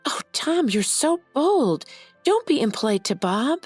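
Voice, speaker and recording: A shrill, scheming wife, put on by a woman narrator in a storybook tale, pitched high above the narration and full of greedy excitement. The reading is plain and dry. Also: a little slow, husky, no echo, faint music behind, full character voice. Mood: smug.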